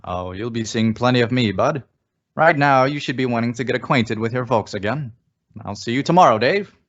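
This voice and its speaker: A man's voice: medium pitch, expressive, brisk, highs cut off, lightly acted. A man acting as an easygoing, folksy old man in an old-time radio suspense drama. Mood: genial.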